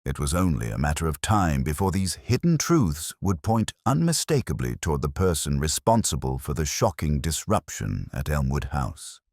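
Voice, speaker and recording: Male audiobook narrator, mystery fiction. Very low voice, lively intonation, formal and measured delivery, dry close sound. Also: no echo. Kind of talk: scripted mystery story narration.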